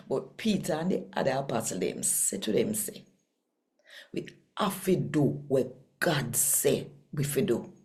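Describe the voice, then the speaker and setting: Jamaican lady reading scripture out loud, low voice, takes her time, real storyteller delivery. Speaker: host and scripture reader. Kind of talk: expressive scripture reading and video narration.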